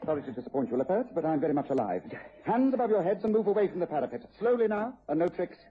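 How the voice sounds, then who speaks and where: A man with an English accent playing a shrewd, commanding, dryly calm gentleman detective in vintage radio drama. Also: high voice, very fast, slight background noise. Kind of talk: 1950s-60s BBC-style radio drama acting. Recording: phone-like old radio recording.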